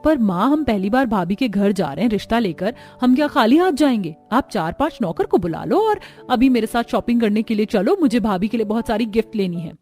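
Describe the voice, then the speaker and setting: A woman voicing an eager, excitable young sister in a cartoon story. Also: fairly high pitch, a little fast, few pauses, faint music behind, close to an everyday voice.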